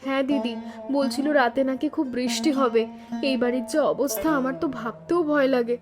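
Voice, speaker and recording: A woman acts out a young city-bred wife in an animated family story. Her voice is high, expressive and questioning, with an anxious, caring temper. Faint music plays behind her. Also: a little fast, natural voice.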